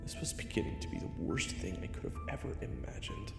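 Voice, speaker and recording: A man's voice: on the low side, big pitch swings, fairly quick, gravelly, a little mellow. A man playing an insecure, self-pitying young adventurer in a fantasy audio drama, speaking with emotional self-doubt. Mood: humiliated. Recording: echoey hall reverb under game music.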